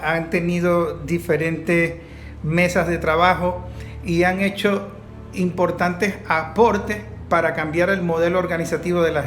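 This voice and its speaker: A man with a Venezuelan accent summing up a discussion in a measured, orderly way. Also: high-pitched. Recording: echoey room, faint music.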